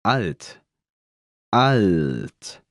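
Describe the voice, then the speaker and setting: A man reading words for a language lesson, saying each one twice, slowly and deliberately. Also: fairly low pitch, sing-song, a bit bright, no echo, studio quality. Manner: says each word twice, slow and deliberate. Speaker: German pronunciation speaker. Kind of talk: slow pronunciation drills.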